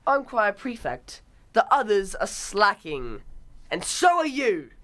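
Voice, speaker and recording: A woman's voice: mid-range voice, big pitch swings, takes it slowly, a bit bright. A young woman playing a bossy, sneering schoolboy prefect. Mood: commanding. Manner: imperious, scornful. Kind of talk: radio play acting.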